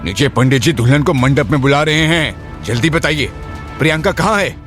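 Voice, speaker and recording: A man's voice: on the high side, a little fast, a bit bright, natural voice. A man playing a stern, authoritative old patriarch of a wealthy family in a Hindi audio drama. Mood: alarmed, commanding. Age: older. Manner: authoritative, indignant. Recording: music under the voice.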